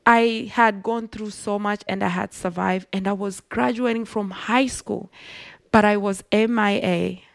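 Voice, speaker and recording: A woman's voice: medium pitch, unhurried, vocal fry, dry recording. Earnest woman with a Rwandan accent. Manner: earnest, reflective, animated storytelling. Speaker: guest. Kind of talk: personal storytelling in an interview.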